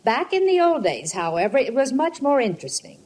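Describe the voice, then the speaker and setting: A woman's voice: high voice, very animated, fairly quick, a little mellow. A woman playing a mild, homely middle-aged mother in a 1950s radio comedy. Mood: reading aloud.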